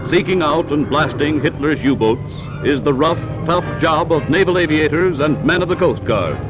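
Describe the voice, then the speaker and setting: A vintage 1940s newsreel soundtrack with a loud orchestral score running throughout. Over it an American man with a fairly high voice reads scripted narration. His delivery is dramatic and declamatory, with strong emphasis on key words. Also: expressive, moderate pace, loud music behind the voice, rough recording.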